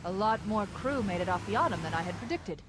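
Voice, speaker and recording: A confident female AI companion acted by a woman briefs a soldier with crisp, precise authority. She sits at a medium pitch, in scripted game style, with clear noise of vehicles and battle behind. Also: animated, fast, close to an everyday voice. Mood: urgent, briefing. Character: brisk, confident female military artificial intelligence companion. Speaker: tactical guide.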